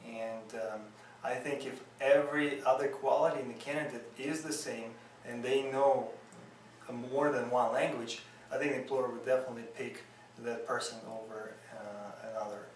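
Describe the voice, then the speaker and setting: Dentist in his thirties, Russian accent, hesitant interview answers, mid pitch, far from mic in a roomy space. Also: steady tone, moderate pace, a little hiss or hum. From former Soviet Union. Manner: earnest, hesitant with many fillers. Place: dental office reception area, indoors. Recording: roomy echo, off-mic, music behind.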